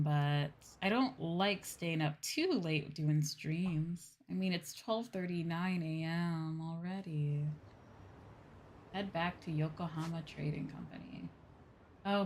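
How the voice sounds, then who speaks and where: A woman's voice: deep voice, slight background noise. A woman live-streaming a video game, chatting informally with viewers and thinking aloud with exclamations.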